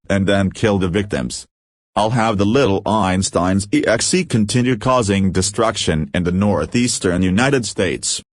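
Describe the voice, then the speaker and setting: A man's voice: on the low side, no room sound, studio quality, natural voice. A man playing a gloating, menacing villain mastermind bent on revenge in an amateur fan-made drama. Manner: boastful, menacing. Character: gloating vengeful male villain mastermind with evil plans.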